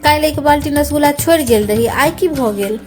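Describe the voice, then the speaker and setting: A woman's voice: sits high, fairly quick, close to an everyday voice. A woman voicing a demanding, reproachful young village sweetheart in a comic cartoon, with a Maithili accent. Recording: music behind the voice.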